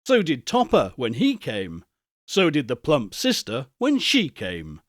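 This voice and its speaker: A man narrating a classic tale as a storybook reader, in an expressive literary style. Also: mid-range voice, measured pace, no room sound, clean studio sound.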